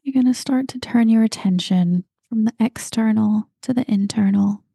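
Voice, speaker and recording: A woman guiding a meditation, talking slowly and calmly and at times dropping into breathy whispers. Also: a little high, dry recording, studio quality. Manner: slow, soft and calm, drifting into breathy whispers. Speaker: meditation guide. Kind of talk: guided meditation.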